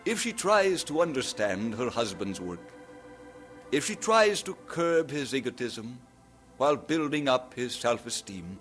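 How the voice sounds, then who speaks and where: An earnest Scottish preacher, with a middle-pitched voice that rises and falls with lively emphasis. Soft music plays under this old radio drama. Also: male voice, measured pace. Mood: preaching. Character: earnest young male preacher. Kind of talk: 1940s radio acting. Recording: vintage radio recording with music.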